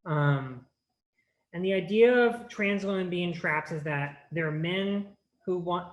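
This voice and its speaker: A woman giving an earnest, explanatory talk at an online conference, speaking over a video call with frequent restarts. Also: deep voice. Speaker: workshop presenter.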